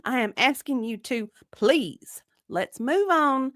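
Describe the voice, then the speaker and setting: A woman's voice: high voice, animated, takes it slowly, a bit bright, no room sound, clean studio sound, full character voice. A woman playing a defensive, indignant witness in a dramatic reading of a deposition, evasive and insistent in clipped answers.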